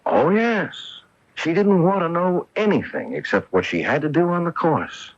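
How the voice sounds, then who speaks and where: An actor in a radio drama playing a defensive, self-deprecating former golfer husband who talks hesitantly and ruefully. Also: male voice, fairly high pitch, very animated, moderate pace. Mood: rueful, candid. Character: bitter, defensive former pro golfer husband with a guilty secret. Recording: muffled old AM radio broadcast.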